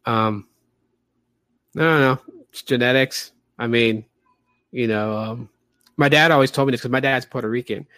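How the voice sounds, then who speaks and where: A man's voice: mid-range voice, moderate pace, pauses often, sharp tone, dry recording. A man in his late thirties with a light voice, hosting a casual livestreamed podcast and rambling chattily with many fillers. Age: late 30s. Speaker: podcast host.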